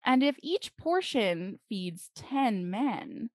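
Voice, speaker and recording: Woman reading as an excitable, number-obsessed Viking king. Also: fairly high pitch, very slow, no room sound, exaggerated voice. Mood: irritated muttering. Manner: musing, muttering, exclaiming. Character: absorbed, pompous, excitable Viking king doing sums. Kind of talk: children's storybook character voice.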